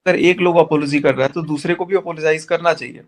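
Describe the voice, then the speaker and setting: A man's voice: fairly high pitch, fairly even intonation, fast talker. A man talking in an insistent, argumentative way in a heated personal conversation on a recorded group phone call.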